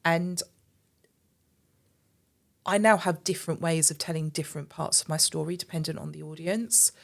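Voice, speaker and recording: An earnest woman speaks as a guest on a podcast, pressing her points with emphasis. Her voice sits a little low for a woman, and she often repeats a word or two as she gets going. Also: normal speed, creaky. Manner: earnest, emphatic, repeats words when starting. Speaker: podcast guest, marketing agency director. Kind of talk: casual podcast conversation.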